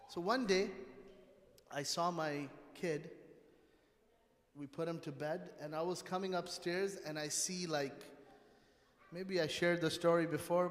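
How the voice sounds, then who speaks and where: A middle-aged man with a fairly high voice gives an informal religious talk in a reverberant hall. He grew up in Canada and speaks emphatically, in short repeated phrases broken by pauses. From born in Pakistan, grew up in Canada. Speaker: religious teacher at a mosque. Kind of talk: informal sermon to a congregation. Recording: reverberant hall, long echo.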